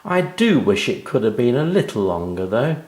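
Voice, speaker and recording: A man voicing a kindly, encouraging female schoolteacher in a children's story, measured and reassuring. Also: medium pitch, sing-song, a little mellow, echoey room, voice pushed up, lightly acted. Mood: friendly.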